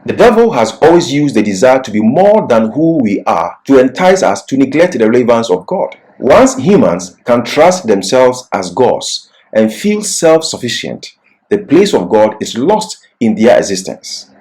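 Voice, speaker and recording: A man delivering a scripted Bible lesson in an earnest, deliberate, emphatic manner. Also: mid-range voice, very animated, darkish tone, poor audio. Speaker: Bible teacher. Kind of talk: religious lesson, scripted exposition.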